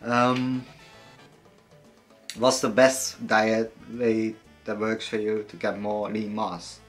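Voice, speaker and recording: A young man answering viewer questions in a casual fitness vlog, relaxed and hesitant, with many fillers and some casual swearing. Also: on the low side, slow pace, soft background music. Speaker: fitness vlogger.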